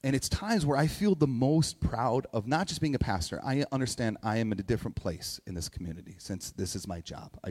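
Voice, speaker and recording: A man in his forties speaks as a pastor in a reverberant hall, at a medium pitch. His sermon is informal and conversational, with halting repeated phrases and little check-ins along the way. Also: a little mellow. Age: 40s. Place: large reverberant indoor room, church gathering. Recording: echoey hall with long reverb.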